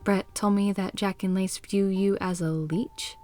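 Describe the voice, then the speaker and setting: A woman voicing a caring, loyal young woman in a visual novel, speaking with earnest, gentle candor. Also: mid-range voice, fairly even intonation, a little slow, soft background music, close to an everyday voice.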